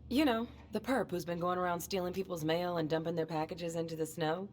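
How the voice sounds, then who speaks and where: A woman's voice: lowish voice, steady tone, a little fast, darkish tone, a little hiss or hum, natural voice. An actress playing a friendly, chatty small-town policewoman who talks in a colloquial, teasing way in a video game cutscene. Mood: amused. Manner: colloquial, joking. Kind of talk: naturalistic video game voice acting.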